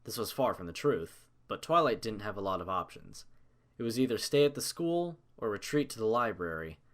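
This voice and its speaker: A man reading scripted story narration in a measured, dramatic manner. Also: fairly low pitch, sing-song, fairly bright tone.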